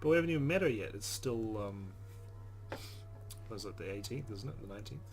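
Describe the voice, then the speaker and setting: A man with a fairly low voice hosts a let's play. He comments casually on the game, with soft music playing behind him. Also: lively intonation, fast talker.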